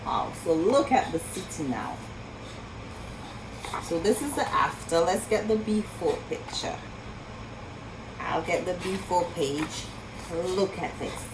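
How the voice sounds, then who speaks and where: Woman reading slowly and expressively to children. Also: on the low side, lively intonation, noisy background. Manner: asks questions and marvels at pictures, speaking to children. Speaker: storyteller reading a picture book aloud. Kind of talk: expressive children's read-aloud with asides to young listeners.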